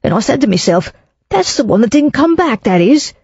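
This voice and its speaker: A reader voicing a smarmy Cockney salesman in his thirties, nervous and wheedling, pushing the pitch up. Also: very animated, a little fast, darkish tone, husky, no room sound. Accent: Cockney overlaid with refinement. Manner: wheedling, panicky, raised pitch. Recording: dull lo-fi, dry.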